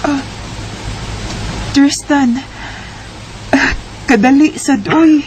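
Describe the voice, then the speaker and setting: A young woman acting a hardworking bar promo girl in a homemade radio drama, soft and bashful. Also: high voice, fairly even intonation, drawn-out words, slight background noise, low-quality recording. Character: hardworking young woman supporting her siblings. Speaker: bar promo girl who dropped out of school.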